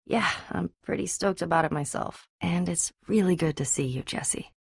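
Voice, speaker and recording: Husky, tough young adventurer heroine. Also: female voice, fairly low pitch, moderate pace, darkish tone, dry recording, heavily acted. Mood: relieved, friendly. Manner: blunt, casual, earnest. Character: tough, guarded young female adventurer, loyal and wry. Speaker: game voice actress. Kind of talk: animated adventure game dialogue.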